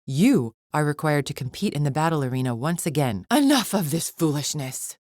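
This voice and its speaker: A proud female alien gladiator for a video game. Her voice sits low, with formal, scornful diction and an impatient, superior temper. The sound is dry and close, free of room echo. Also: sing-song, normal speed, darkish tone. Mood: imperious, irritated. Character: haughty, impatient alien warrior woman, proud arena champion. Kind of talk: video game character acting.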